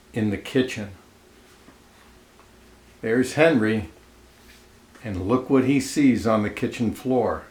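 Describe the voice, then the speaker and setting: A man reading a children's picture book aloud expressively, pausing to comment and ask listeners questions, in an echoey room. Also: mid-range voice. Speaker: storybook reader. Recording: roomy, echoey indoor sound.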